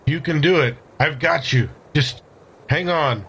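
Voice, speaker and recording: A man's voice: on the high side, measured pace, bright, forceful tone, slightly rough. A man playing a tabletop game, casually speaking for his character and describing his actions.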